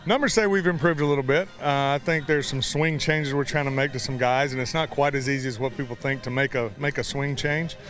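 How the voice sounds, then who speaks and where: Fast-talking American male baseball coach. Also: medium pitch, fairly even intonation, a bit bright, soft background music. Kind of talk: informal interview answers.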